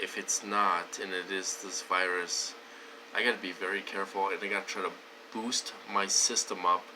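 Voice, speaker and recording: A man's voice: sits low, background noise. A man talking casually to his viewers, wheezy and short of breath, rambling with many fillers and restarts. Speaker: vlogger. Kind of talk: casual talk to camera.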